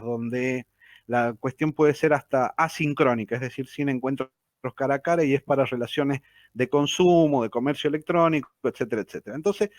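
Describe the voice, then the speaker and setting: A man's voice: medium pitch, no room sound, studio quality. A man with a Rioplatense Spanish accent giving a lecture-style talk on mediation, repeating key phrases and checking in with "¿sí?".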